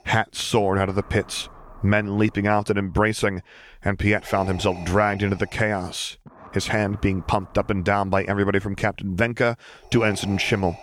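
A man's voice: sits low, a little hiss or hum. A man reading a story aloud in a scripted, dramatic narration style. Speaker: audiobook reader.

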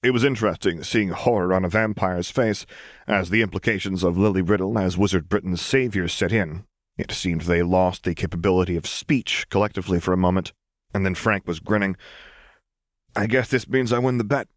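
A man narrating a serialized fan novel in a steady, even way. His voice sits fairly low and is recorded close and dry, with no room sound. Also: a little fast, no room sound. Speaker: audiobook reader. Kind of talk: reading a fan novel aloud.

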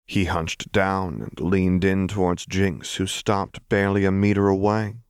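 A man with a low voice narrates dramatic fiction. He reads from a script as an audiobook narrator, recorded very dry with no room sound. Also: little pitch movement, a little slow, smooth, dry recording.

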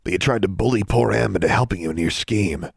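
A man playing a kindly, folksy older groundskeeper who scolds gently. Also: lowish voice, quick pace, vocal fry, husky, no echo, clean studio sound. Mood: gently scolding.

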